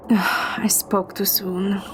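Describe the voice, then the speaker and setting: A woman's voice: mid-range voice, little pitch movement, extremely slow, background noise. A woman playing a spirited young romance game heroine, wry, playful and direct. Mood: hushed, wry. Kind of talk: romance game dialogue acting. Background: music.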